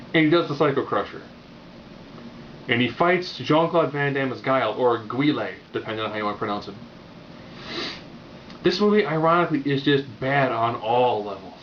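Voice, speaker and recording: A man with a mid-pitched voice talks through a movie review off the cuff, casual and opinionated. His delivery is wry and rambles a little. The recording is dull and lo-fi, with faint noise behind him. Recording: muffled lo-fi audio with background noise.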